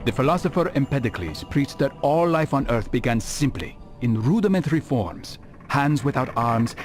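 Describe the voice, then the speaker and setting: Stern scholarly assassin played by a male actor, mid-range, formal, expressive intonation, music behind. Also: mid-range voice, close to an everyday voice. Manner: measured, formal. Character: grave, learned young assassin, stern and reflective.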